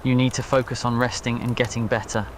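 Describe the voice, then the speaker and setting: Guy doing a protective young wizard boyfriend, hushed n tender, asmr roleplay, faint noise behind. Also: mid-range voice, flat delivery, fast, close to an everyday voice. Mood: warm, caring. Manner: quiet, tender, reassuring.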